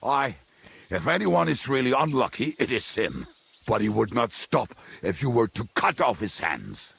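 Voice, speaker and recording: A man playing a roguish, foul-mouthed medieval mercenary in a video game, with a blunt, wry way of telling things and a gravelly, husky voice. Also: medium pitch, very animated, normal speed, a bit bright, a little hiss or hum. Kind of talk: dramatic video game dialogue.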